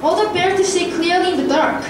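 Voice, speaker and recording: A woman's voice: very high voice, brisk. A girl of about ten or eleven playing a polite newcomer wolf in a puppet play, reciting courteously. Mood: explaining. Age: child, about ten or eleven. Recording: echoey school hall, distant, very noisy.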